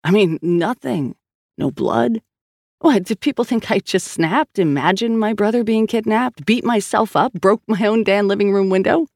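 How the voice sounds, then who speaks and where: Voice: mid-range voice, normal speed, no room sound, clean studio sound, pitched above the performer's own voice, natural voice. An audiobook narrator playing a tense, stubborn woman police officer who speaks insistently and with exasperation. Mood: agitated, defiant. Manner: insistent, emphatic.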